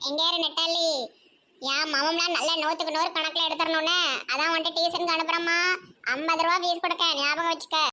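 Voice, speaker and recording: A woman's voice: very high voice, level tone, fairly quick, bright, forceful tone. A woman with a Tirunelveli Tamil accent voicing a fussing, teasing village neighbour in a comedy cartoon. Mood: chatty, teasing.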